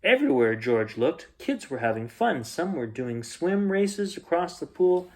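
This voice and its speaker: A man reading a children's bedtime story aloud, expressively, with dramatic emphasis and pauses. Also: fairly high pitch, sing-song, a little mellow.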